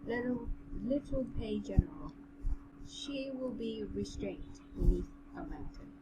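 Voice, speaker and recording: A woman's voice: on the high side, expressive, unhurried, very noisy. A woman co-hosting a casual read-along podcast, talking in an earnest, rambling, hesitant way as she reads and comments. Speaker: podcast co-host reading a novel aloud. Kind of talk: casual chat and amateur read-aloud with commentary.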